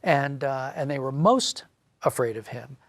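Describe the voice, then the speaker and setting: A man's voice: mid-range voice, lively intonation, a little slow, no room sound. A man speaking as an interview guest, explaining history in a discursive, thoughtful, conversational way. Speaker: interview guest, audio drama writer.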